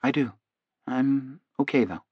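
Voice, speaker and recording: A man voicing a meek, earnest, hypnotized male defendant, soft and halting, in an audiobook. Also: mid-range voice, husky, no echo, muffled recording. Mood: soft, dreamy. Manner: soft, halting, half-distracted.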